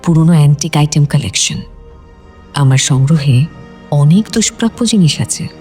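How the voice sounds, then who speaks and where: A woman's voice: deep voice, expressive, moderate pace, dark tone. A woman playing an educated, self-possessed expatriate wife who gives brief answers with English words mixed in, in an audio drama. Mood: composed. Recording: faint music behind the voice.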